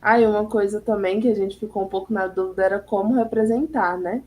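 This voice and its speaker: A woman's voice: mid-range voice, steady tone, fast talker, darkish tone. A young woman student presenting her group's project in class, hesitant and full of fillers. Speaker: architecture student.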